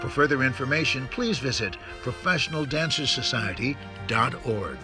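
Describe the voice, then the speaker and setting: A man's voice: mid-range voice, expressive, normal speed. A man reading scripted narration as an announcer-style narrator. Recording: music behind, slightly distant sound. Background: music.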